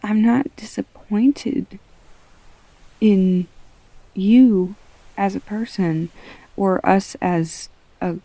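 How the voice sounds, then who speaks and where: A woman's voice: mid-range voice, steady tone, drawn-out words, long pauses, warm tone, no echo, rough recording. A young woman playing an affectionate, self-deprecating girlfriend in an intimate roleplay audio, rambling candidly and casually. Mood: earnest, reassuring. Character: affectionate, self-deprecating girlfriend comforting her partner, goofy storyteller.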